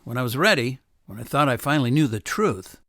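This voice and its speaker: An actor playing a self-assured, probing lawyer who confronts suspects in a radio drama. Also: male voice, mid-range voice, animated, pushed and bright, dry recording, clean studio sound. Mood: coy, reflective. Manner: deliberate, pressing, self-assured.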